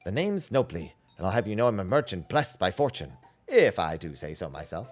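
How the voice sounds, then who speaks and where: Guy doing a boastful game merchant, flowery sales talk, pitch moves around a lot. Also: sing-song, a little fast, faint music behind. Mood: boastful, coaxing. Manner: florid sales patter. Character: boastful, smooth-talking merchant, self-styled lucky. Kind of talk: game character dialogue read aloud.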